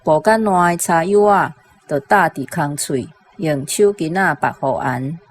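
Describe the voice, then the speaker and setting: A woman's voice: low voice, unhurried, a little hiss or hum. A woman, a language teacher reciting a translation.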